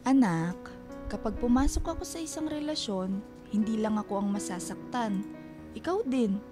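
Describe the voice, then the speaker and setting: A woman played by an actress as a proud, self-reliant mother in a radio drama. Her voice is high and chiding, fond underneath, with faint music behind. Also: animated, moderate pace, close to an everyday voice. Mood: firm, motherly. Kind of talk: Filipino radio drama acting.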